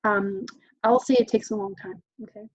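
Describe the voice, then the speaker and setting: A woman's voice: mid-range voice, fairly even intonation, moderate pace, no echo, poor audio. A woman presenting a parenting workshop in a casual, chatty way, often acting out exchanges between parent and child, with frequent "um"s. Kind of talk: informal teaching talk.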